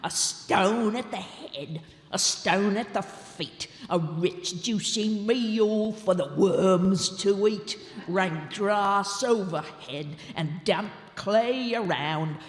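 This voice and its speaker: A woman's voice: medium pitch, natural voice. Woman doing a grim old gravedigger. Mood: gloating. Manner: gloating slow sing-song chant. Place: large town hall stage. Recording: roomy hall reverb.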